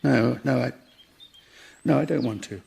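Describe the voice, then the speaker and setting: A man's voice: medium pitch, a little slow, creaky, a little mellow. An actor playing a pompous, self-righteous man who is easily outraged, in a radio comedy, with an emphatic, exasperated manner. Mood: dismayed. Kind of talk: radio sitcom acting.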